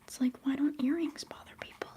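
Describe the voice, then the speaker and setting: A young woman whispering softly and chattily during a live ASMR stream, giggling now and then. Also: high-pitched, steady tone, a little fast. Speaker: livestream host.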